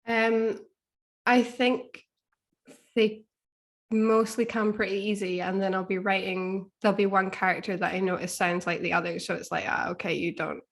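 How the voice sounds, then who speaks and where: A woman speaking casually as an interview guest, tentative and halting as she thinks aloud. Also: mid-range voice, fairly even intonation. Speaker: podcast guest, author.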